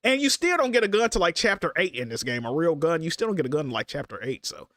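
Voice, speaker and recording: A congested man with a fairly high voice talks in loose, slangy banter and swears a lot as he hosts a livestream. He sits close to the mic, and the sound is dry and close. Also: very animated, quick pace, a bit bright, no room sound. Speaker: streamer host. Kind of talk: casual livestream chat.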